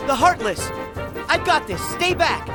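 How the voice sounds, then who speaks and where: A spirited teenage boy hero speaks in a youthful, lively American voice. He sounds earnest and casual, ready for adventure in a video game, with music clearly behind. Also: very high voice, a little slow, exaggerated voice. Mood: urgent. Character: Sora, upbeat, eager, brave teenage boy hero. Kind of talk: animated video game voice acting.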